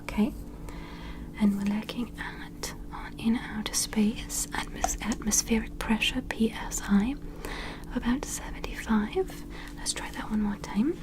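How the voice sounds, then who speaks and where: A woman playing a gentle, attentive alien medical examiner in an ASMR roleplay, whispering slowly and soothingly in a breathy, reassuring way. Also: a little high, close to an everyday voice, poor audio. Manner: slow, soothing, reassuring, procedural.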